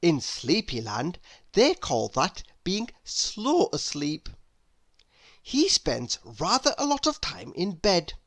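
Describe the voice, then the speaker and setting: A woman reading a children's story aloud as an expressive, unhurried storyteller. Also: fairly low pitch. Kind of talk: children's read-aloud narration.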